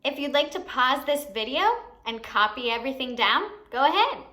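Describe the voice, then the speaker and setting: A woman's voice: high-pitched, expressive, moderate pace, sharp tone. A woman hosting a lesson for young children, explaining things simply and expressively and putting questions to the listener. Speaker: children's teacher and show host.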